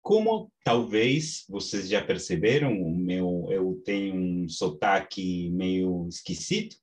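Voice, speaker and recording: A man from Peru with a Peruvian Spanish accent, teaching an online class in Portuguese with slow, halting speech and many restarts, over a video call from a small home study. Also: low voice, lively intonation, darkish tone. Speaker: course instructor. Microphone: webcam on video call.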